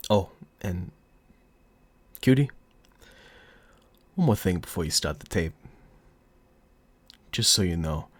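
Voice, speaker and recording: A man's voice: low-pitched, no echo, full character voice. A man playing a cocky, flirty, menacing mafia boss in an audio roleplay, with a put-on mobster accent and a smug, teasing drawl. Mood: smug, threatening.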